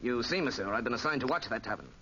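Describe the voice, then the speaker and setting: A man playing an earnest Parisian police officer in a 1940s radio drama, hurried and formal. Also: medium pitch, expressive, bright, forceful tone. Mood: urgent. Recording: muffled, noisy 1940s radio recording.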